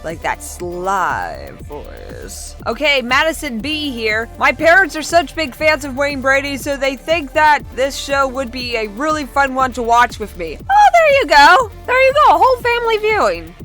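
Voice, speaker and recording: A woman hosting a fan commentary vlog, talking in a gushing, excitable way and repeating herself for emphasis. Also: high-pitched, normal speed, few pauses. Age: adult. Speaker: YouTube fan channel host. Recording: music behind the voice. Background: background music.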